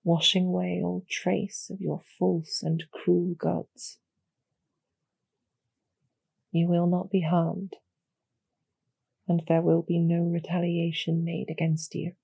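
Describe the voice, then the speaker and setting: Woman voicing a husky, slow-spoken demon general. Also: completely flat delivery, no room sound, dull audio, full character voice. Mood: solemn, coaxing. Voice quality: soft, husky, low with creaky edge. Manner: measured, deliberate, gently formal persuasion. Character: calm, courteous female demon general, protective and persuasive. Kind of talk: slow, soothing scripted roleplay audio for sleep.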